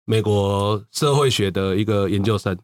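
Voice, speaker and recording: A man's voice: lowish voice, normal speed, darkish tone. A man with a Taiwanese accent hosting a casual chat, speaking colloquially and repeating phrases for emphasis. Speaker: podcast host. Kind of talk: casual chat, mixing Mandarin and Taiwanese Hokkien.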